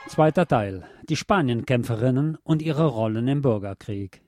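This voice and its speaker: A man reading a scripted historical documentary for radio in a measured, formal manner. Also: medium pitch, expressive, darkish tone, soft background music. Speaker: radio narrator.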